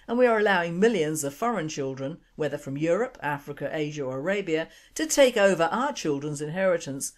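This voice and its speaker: Low-voiced woman radio host. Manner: emphatic, indignant, rhetorical questions. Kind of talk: scripted opinion commentary.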